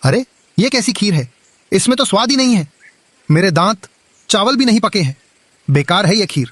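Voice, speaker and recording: Man voicing a high-pitched naive pigeon. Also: lively intonation, normal speed, a bit bright, no echo. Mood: disgusted, complaining. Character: naive male pigeon customer, curious and complaining. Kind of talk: animated children's moral story dialogue.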